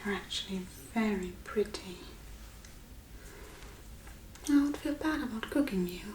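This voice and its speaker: A woman voicing a spooky, gently teasing witch in a soft-spoken ASMR role-play, murmuring in a breathy whisper. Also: mid-range voice, lively intonation, a little hiss or hum, close to an everyday voice, low-quality recording. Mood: teasing, musing. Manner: murmuring, unhurried, muttering to herself. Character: spooky Halloween witch, softly curious, gently teasing, apologetic.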